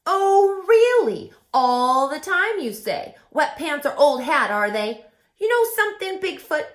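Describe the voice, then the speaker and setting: A woman's voice: high voice, big pitch swings, unhurried, voice pushed up. A woman doing a huffy, accusing male bear. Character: indignant male bear demanding answers. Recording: roomy echo.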